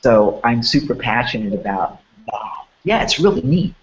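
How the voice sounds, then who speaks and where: A man hosts an event preview, his voice hoarse and a little congested from a cold. He rambles with eager enthusiasm and a middling pitch. The audio comes through a dull, lo-fi call line. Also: very animated, moderate pace. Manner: rambling, enthusiastic, many restarts. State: hoarse and sniffling. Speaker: host. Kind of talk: promotional webinar chat.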